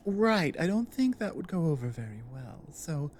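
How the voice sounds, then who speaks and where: A woman playing a big, earnest, protective orc warrior in an intimate ASMR audio drama, speaking low in a halting, thoughtful way. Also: very animated, darkish tone, a little hiss or hum. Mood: conflicted, anxious. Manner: halting, deliberate, earnest delivery with pauses.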